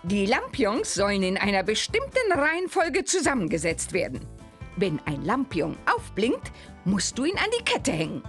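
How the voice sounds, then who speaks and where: A woman's voice: mid-range voice, huge pitch swings, moderate pace, a bit bright, faint music behind. A woman playing a busy, fussy grown-up witch in a cartoon game, theatrically giving instructions. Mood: instructing.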